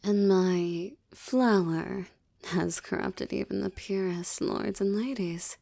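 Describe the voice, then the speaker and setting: A seductive demoness, acted by a woman, gloats over a captive in an intimate roleplay drama. She speaks slowly in a dark, mellow voice with a gravelly creak. Her haughty, teasing lines often slip into breathy whispers. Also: mid-range voice.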